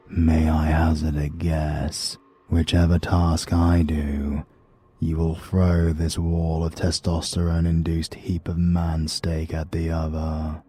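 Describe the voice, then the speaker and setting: Very deep British male narrator, slow and gravelly, for a dark audiobook. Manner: slow, measured, menacing delivery.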